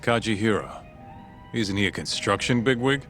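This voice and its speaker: Brash tough guy with a lively low voice. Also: moderate pace, faint music behind. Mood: blunt. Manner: blunt, slangy. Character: blunt, brash tough-guy sidekick in a loud shirt. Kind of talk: video game cutscene acting.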